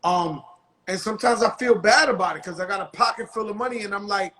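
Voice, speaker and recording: A man's voice: high-pitched, fast talker, pushed and bright. A man from the South Bronx, hosting a casual interview in blunt street slang. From South Bronx, New York. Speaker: host.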